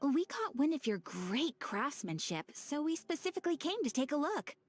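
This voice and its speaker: A courteous, cheerful guild lady for a video game. Her high voice moves in polite, sing-song swings over faint music. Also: sing-song, moderate pace. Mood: polite, curious. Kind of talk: video game character acting.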